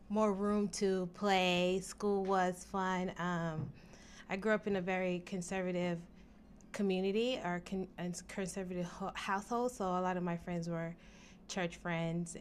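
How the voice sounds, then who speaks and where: Woman in her 20s, lowish voice, relaxed and reflective, studio interview guest. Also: a little hiss or hum. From Jamaica. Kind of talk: casual interview answers.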